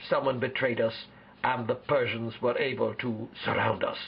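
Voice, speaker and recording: A man playing a proud, solemn ancient Spartan king who speaks formally and deliberately in an amateur role-play interview. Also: mid-range voice, fairly even intonation, vocal fry, slightly rough, muffled recording.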